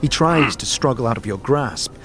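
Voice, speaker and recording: A man's voice: mid-range voice, lively intonation. Solemn male fantasy narrator over music. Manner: measured, solemn storytelling. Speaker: narrator and game master. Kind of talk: dramatic second-person fantasy narration.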